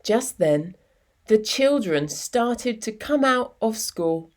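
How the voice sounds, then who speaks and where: A woman reading a children's picture book aloud in an expressive, deliberate storytelling manner with dramatic pauses. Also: a little high. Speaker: storytime reader.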